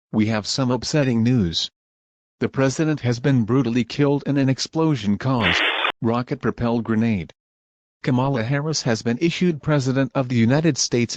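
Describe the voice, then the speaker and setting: A man's voice: medium pitch, a little mellow, no echo, muffled recording. A man reading scripted emergency broadcast announcements as an alert announcer. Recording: clearly noisy background.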